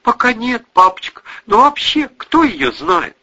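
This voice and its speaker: A teenage daughter, hurt and defiant, in a very high, sharp voice. The delivery is emotional and exclamatory, swinging widely in pitch, as in a dramatic audiobook. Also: male voice, big pitch swings, unhurried, highs cut off, exaggerated voice. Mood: tender, subdued.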